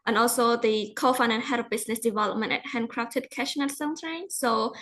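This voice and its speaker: Higher-pitched young Cambodian-accented woman. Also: brisk, a bit bright. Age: young adult. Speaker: guest panelist, entrepreneur. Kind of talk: self-introduction at a virtual talk. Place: online video call.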